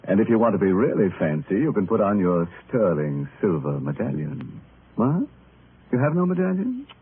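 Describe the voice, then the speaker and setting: Macabre, gleeful 1940s radio horror host. Low register, sardonic drawl, muffled old broadcast with music. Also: male voice, big pitch swings, slightly rough, heavily acted. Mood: mock-sinister glee. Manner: sardonic, drawn-out, theatrical delivery. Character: ghoulish, gleeful, mock-sinister male host of a horror show. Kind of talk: 1940s radio horror hosting with ghoulish puns.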